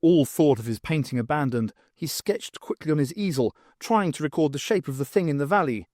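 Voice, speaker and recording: A man's voice: fairly high pitch, animated, a little fast, darkish tone, no room sound. Dry, close-sounding male audiobook narrator. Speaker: audiobook reader. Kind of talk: scripted dramatic narration of a science fiction novel.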